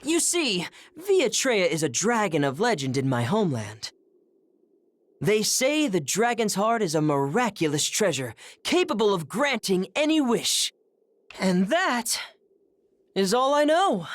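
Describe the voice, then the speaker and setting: A theatrical treasure hunter. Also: female voice, medium pitch, big pitch swings, a little slow, dry recording. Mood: grandiose. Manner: theatrical, grand, playful. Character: flamboyant treasure-hunting adventurer woman. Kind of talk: video game voice acting.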